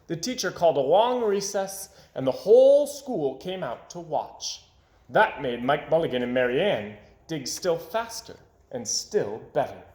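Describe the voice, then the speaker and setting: A man with a slightly high, very animated voice narrates a children's storybook. He is dramatic, shifting volume and tempo to build suspense. The recording has a noticeable room echo. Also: measured pace. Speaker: storybook reader. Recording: roomy home recording.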